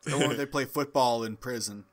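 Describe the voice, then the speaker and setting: A man's voice: mid-range voice, brisk. A man co-hosting a podcast with casual, joking banter. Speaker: podcast co-host.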